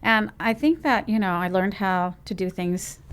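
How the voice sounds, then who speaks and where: A woman from Trinidad and Tobago, a business owner, telling her story in a lively, rambling way as an interview guest. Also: mid-range voice, normal speed, slight background noise. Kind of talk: conversational interview.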